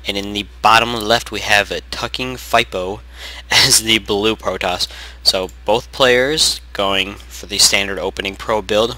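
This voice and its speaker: Hoarse young man casting a game. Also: lowish voice, bright, forceful tone, rough recording. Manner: rambling play-by-play, self-correcting, frequent throat clearing. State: losing his voice from sickness. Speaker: game caster. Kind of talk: casual game commentary.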